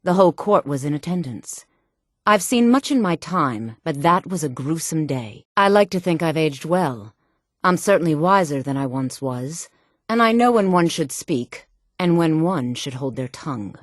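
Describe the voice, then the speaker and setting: A self-assured, sultry woman from a fantasy video game. Her voice sits low and is recorded very dry. She speaks with measured poise and a haughty edge, like someone used to being obeyed. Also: animated, dry recording. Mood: grave, regretful. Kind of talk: video game character dialogue.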